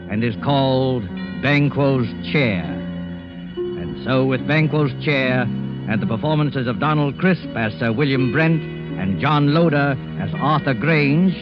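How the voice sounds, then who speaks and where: A man's voice: medium pitch, measured pace, over music. A man hosting a thriller series, reading scripted narration in formal, suspenseful 1940s radio style. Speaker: host of a thriller anthology. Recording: dull 1940s radio recording.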